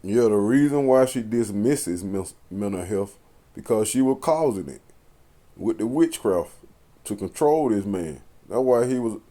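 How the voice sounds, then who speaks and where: A man's voice: fairly low pitch, lively intonation, a little slow, mellow, a little hiss or hum. A man giving casual reaction commentary in a blunt, slangy, accusatory manner.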